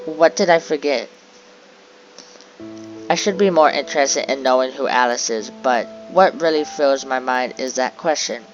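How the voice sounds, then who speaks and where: A man reading a visual novel aloud as dramatic first-person narration, halting and stumbling a little over the text. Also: medium pitch. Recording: dull lo-fi audio with music behind.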